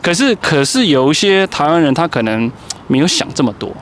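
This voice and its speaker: A man's voice: on the high side, very animated, brisk, a little hiss or hum. A man hosting a casual street interview, chatty, often prompting and finishing his guest's answers. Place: city street outdoors.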